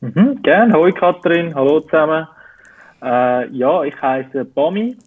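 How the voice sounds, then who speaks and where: A young man in his twenties speaks in a Zurich Swiss German accent, taking his time and pausing often to find his words. The sound is thin and phone-like, and the room around him is dry with no echo. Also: a little high, expressive. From Switzerland. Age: 20s. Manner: slow, searching for words. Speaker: interview guest. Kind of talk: casual interview answers. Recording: tinny phone-like call audio.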